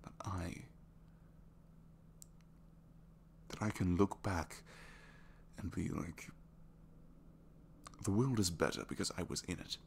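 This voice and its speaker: A man's voice: sits low, creaky, slight background noise. A man acting out a bashful, flirtatious supervillain with a good heart in an intimate ASMR roleplay, speaking hesitantly with sheepish stammers. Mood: earnest, hopeful.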